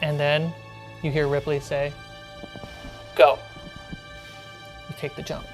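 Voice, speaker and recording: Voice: medium pitch, little pitch movement, slow, soft background music. A game master narrating a tabletop role-playing game to the players.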